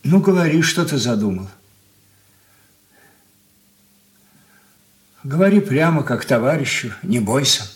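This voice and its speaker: An older actor playing a stern, bewildered old father in a radio drama, with a weighty, halting manner. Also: mid-range voice, big pitch swings, close to an everyday voice. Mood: gruff urging. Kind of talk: Soviet radio play acting.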